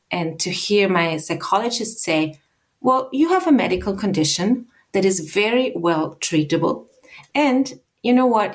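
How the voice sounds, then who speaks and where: A woman from Austria in her thirties speaks in a lowish, measured voice. She tells her own story as a guest, pausing and restarting now and then as she goes. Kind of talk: personal account in an interview.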